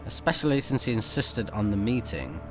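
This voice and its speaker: A man's voice: lowish voice, lively intonation, a little fast, music in the background. A man recording a let's play, switching between casual off-the-cuff commentary and reading game text aloud. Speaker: let's player, game streamer.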